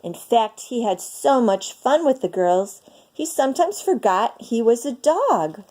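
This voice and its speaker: A woman reading a picture book aloud in an expressive, dramatic storytelling manner for children. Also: a little high, measured pace.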